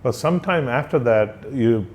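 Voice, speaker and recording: A man interviewing a guest, asking questions politely and deliberately with some hesitant phrasing. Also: mid-range voice, expressive, darkish tone. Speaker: interviewer, professor.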